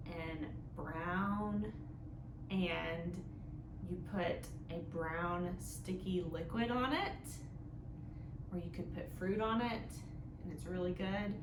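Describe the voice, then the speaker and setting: Slow-paced woman hosting children's storytime, echoey room. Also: medium pitch. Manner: simple, instructive phrasing with frequent questions and check-ins. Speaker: children's library storytime host. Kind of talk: interactive storytime for young children, with songs and guessing games. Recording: echoey room with clear background noise.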